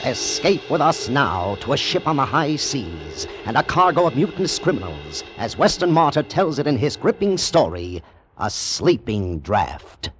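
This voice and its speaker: A man's voice: mid-range voice, sing-song, unhurried, loud music behind the voice. A man announcing an old-time radio drama in a portentous, theatrical manner.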